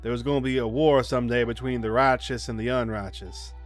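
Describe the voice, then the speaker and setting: Haunted rural boy telling his story for a visual novel read by a man, average pitch, unadorned halting read, music underneath. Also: fairly even intonation, natural voice. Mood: somber, reflective. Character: haunted country boy Runt recounting his childhood. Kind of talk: reading visual novel narration aloud.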